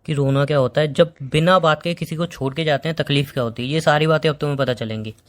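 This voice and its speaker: A man's voice: on the high side, steady tone, fast, lightly acted. A man playing a blunt, dismissive young boyfriend who is breaking up in a staged phone-call drama. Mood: irritated, blunt. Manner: blunt, repetitive, impatient.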